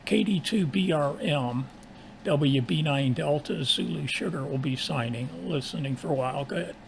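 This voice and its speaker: A man talking casually as an amateur radio operator, halting and technical in his delivery. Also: a little high, creaky. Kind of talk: casual ham radio exchange. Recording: constant hiss, ambient background noise.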